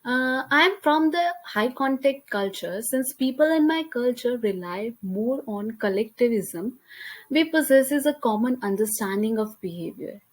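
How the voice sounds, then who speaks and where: A young woman with an Indian accent, speaking English as her second language, presents a class talk over slides. Her voice sits a little high, and she reads carefully, restarting now and then on a word. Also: expressive, a little slow. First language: Hindi. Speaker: student presenter. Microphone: computer mic, voice-over on slides.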